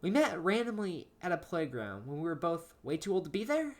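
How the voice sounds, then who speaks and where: A woman voicing a friendly female best friend in a horror story, with a bright, casual manner. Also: lowish voice, very animated, a little fast, noisy background. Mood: puzzled. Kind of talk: horror story character dialogue.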